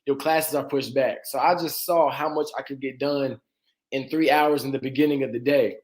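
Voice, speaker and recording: Laid-back young dentist from Atlanta. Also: male voice, mid-range voice, normal speed, rough recording. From Atlanta, Georgia. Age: 20s. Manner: relaxed, laughs easily, frequent "uh" and "you know". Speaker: dentist guest. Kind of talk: casual interview talk.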